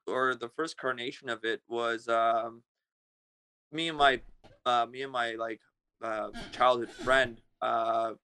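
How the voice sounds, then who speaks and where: A man's voice: medium pitch, bright, forceful tone, clear, resonant tone. A man from Russia, a guest musician in his thirties, answering interview questions casually, full of hesitations and restarts. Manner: hesitant, many fillers and restarts.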